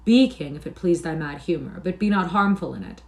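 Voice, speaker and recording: A woman's voice: deep voice, expressive, brisk, gravelly, a little mellow. A woman voicing a burly male gang chief in a storybook, firm and good-natured, with archaic rogue speech. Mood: firm, commanding. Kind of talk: storybook character reading.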